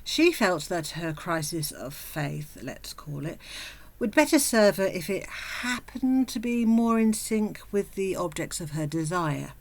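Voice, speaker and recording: A woman narrating a scripted comic story in a sardonic, theatrical manner, with mock-grand wording and drawn-out dramatic pauses. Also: fairly low pitch, sing-song. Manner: mock-grand wordy phrasing. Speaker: storyteller host.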